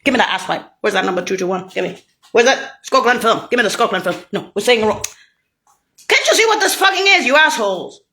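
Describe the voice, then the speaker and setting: A woman with a slightly high voice delivers a scattered, profane monologue. Her pitch leaps and dips widely from one phrase to the next, and she breaks into sudden shouts. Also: very animated, fast. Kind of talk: rambling solo monologue.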